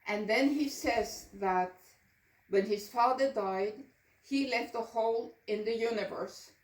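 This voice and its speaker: An older woman from Norway gives a prepared personal presentation in a deliberate, earnest way. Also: on the high side. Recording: echoey room, distant microphone.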